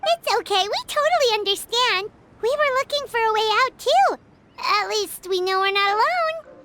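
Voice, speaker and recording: A woman voicing a tiny, chirpy, blunt fairy companion with a squeaky childlike voice in a video game. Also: moderate pace, heavily acted. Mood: reassuring. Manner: animated, exaggerated. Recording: music playing behind the voice.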